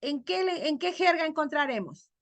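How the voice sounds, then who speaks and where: A woman teacher giving an online class over a video call, quizzing her students and drawing out key words slowly. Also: high-pitched, lively intonation, a bit bright, dry recording.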